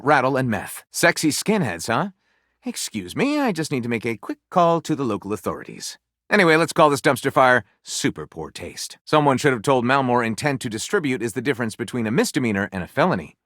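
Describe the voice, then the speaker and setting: A man's voice: medium pitch, a bit bright, no echo, clean studio sound. A man voicing a grandiose, lewd, self-satisfied storyteller character in a video game, with wry, sarcastic asides. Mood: wry, dismissive, then playfully pompous.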